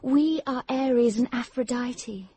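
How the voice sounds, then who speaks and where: An intense young woman in love, possessive and solemn. Her voice sits fairly high yet mellow and husky, with a probing, grandiose way of talking suited to radio drama. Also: slow. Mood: solemn, insistent.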